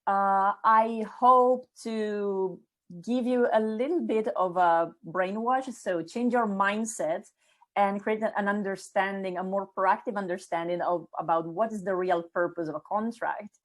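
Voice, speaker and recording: A middle-aged woman with an Italian accent presents her upcoming course in an informal, eager way. She speaks at a medium pitch and often pauses on "uh" and restarts her sentences. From Italy. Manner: lots of fillers and restarts, enthusiastic. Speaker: course instructor. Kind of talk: casual spoken course introduction.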